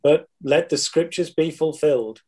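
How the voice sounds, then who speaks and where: A man playing a calm, resolute holy teacher in an amateur dramatized scripture reading. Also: on the high side, normal speed, slightly rough.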